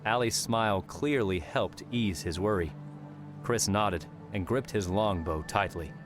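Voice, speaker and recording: A man narrating an audiobook in a measured, steady reading. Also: lowish voice. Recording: narration over background music. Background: music.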